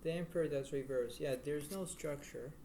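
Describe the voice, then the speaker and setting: A man giving a casual tarot reading to the viewer in a halting, repetitive, rambling way. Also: warm tone. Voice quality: low-volume voice. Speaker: tarot card reader. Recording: noisy homemade recording with room ambience.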